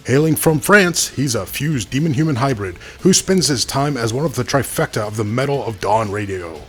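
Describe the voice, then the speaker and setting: A man's voice: mid-range voice, lightly acted. A man playing a folksy, opinionated wrestling co-commentator, delivering scripted sports commentary.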